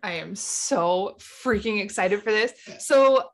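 A woman co-hosting a podcast, excitable and gushing, with frequent giggles. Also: medium pitch, slow. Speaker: podcast co-host. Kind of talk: casual podcast chat.

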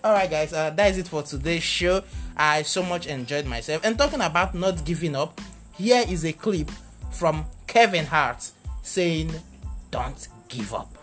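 A man's voice: fairly high pitch, expressive, measured pace, fairly bright tone, faint music behind. A Nigerian man hosting a casual podcast chat, teasing his co-host and trailing off with fillers.

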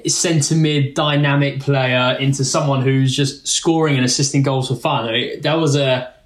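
A man co-hosting a podcast, talking in a slightly halting, self-deprecating way. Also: mid-range voice, fairly even intonation. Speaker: podcast co-host. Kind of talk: casual chat. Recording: roomy echo.

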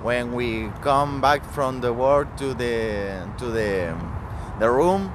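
A man from Chile with a Chilean Spanish accent, speaking halting English with many restarts as an interview guest. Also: medium pitch, lively intonation, background noise.

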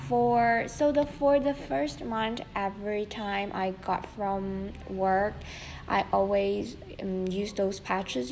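A woman's voice: mid-range voice, low-quality recording. Young female podcast host, loose chatty delivery full of "like", faint background hiss. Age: young adult. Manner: chatty, many fillers and "like". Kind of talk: casual solo podcast talk.

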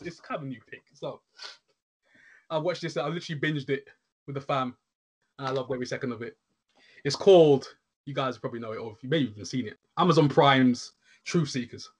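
A man co-hosting a show, talking casually in an excitable, slangy way. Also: fairly high pitch, slightly rough. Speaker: co-host reviewing shows. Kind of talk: casual chat.

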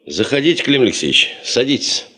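An actor playing a terse, driven expedition chief in a radio drama, husky, measured and authoritative. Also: male voice, mid-range voice, sing-song, pushed and bright. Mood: firm, insistent. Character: commanding male boss of a geological expedition, terse, driven. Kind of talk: Soviet radio drama acting.

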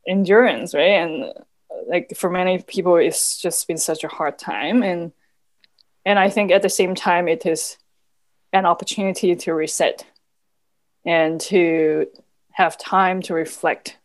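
A woman's voice: lowish voice, fairly even intonation, a little slow. A woman whose first language is Cantonese, speaking as a podcast guest in a thoughtful, reflective way with frequent fillers. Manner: thoughtful, soft-spoken, many "like" fillers, quiet laughs. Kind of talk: reflective conversation.

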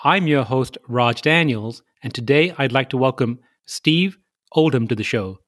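Friendly podcast host from London. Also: male voice, medium pitch, measured pace, a bit bright. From Southeast London. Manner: friendly and conversational, reads the introduction. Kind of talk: podcast interview.

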